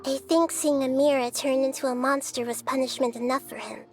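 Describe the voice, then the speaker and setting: A woman voicing a small, gentle and wise girl goddess in a video game, speaking softly and thoughtfully. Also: high-pitched, steady tone, faint music behind. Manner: soft, thoughtful, measured.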